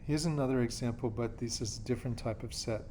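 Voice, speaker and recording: A man's voice: mid-range voice, fast talker, dark tone, a little hiss or hum. A man from Australia teaching a geometry class, explaining step by step and checking in with his students often. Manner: patient, checks in with "okay?" and "yeah?".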